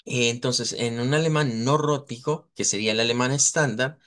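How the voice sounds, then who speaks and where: A man, lecturing in a live online language class. His voice sits at an everyday middle pitch. He talks patiently, spells out sounds slowly and keeps asking his students whether they follow. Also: fairly bright tone. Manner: explanatory, repeats and models sounds slowly; checks in with students, asks "verdad". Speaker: language teacher.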